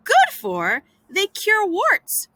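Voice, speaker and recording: A woman's voice: very high voice, huge pitch swings, very slow, sharp tone, voice pushed up, heavily acted. A woman voicing a scruffy, carefree boy in a storybook, talking in a blunt, clipped, casual way. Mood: cocky. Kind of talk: storybook character voice.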